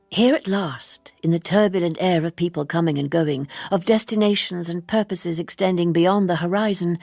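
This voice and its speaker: A woman reading literary narration in a measured, expressive storytelling manner. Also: lowish voice, creaky, husky, no echo, clean studio sound. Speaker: audiobook narrator.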